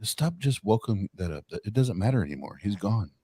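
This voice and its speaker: A man's voice: on the low side, expressive, brisk, mellow, husky, no room sound, clean studio sound. A man bantering casually on a livestream, teasing and sweary. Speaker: livestream panelist.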